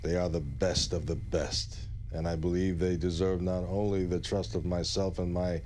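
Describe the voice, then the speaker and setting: Man acting a low-voiced, resolute political leader. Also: flat delivery, a little mellow, a little hiss or hum, lightly acted. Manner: oratorical, emphatic, measured phrases.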